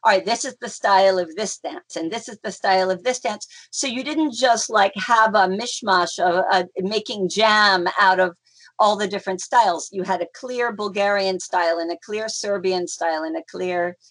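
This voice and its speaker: A woman's voice: medium pitch, moderate pace, a bit bright. Middle-aged woman, interview guest, mid-range voice, rambling and chatty with lots of fillers. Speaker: interview guest, folk dancer. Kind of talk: casual conversational interview.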